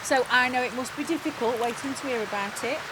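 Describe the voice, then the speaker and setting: A woman's voice: fairly high pitch, fast talker, natural voice. An actress playing a bossy, self-important, gossiping woman manager in an audio comedy drama, officious and patronising. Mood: patronising, confiding. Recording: clear rain and outdoor ambience noise.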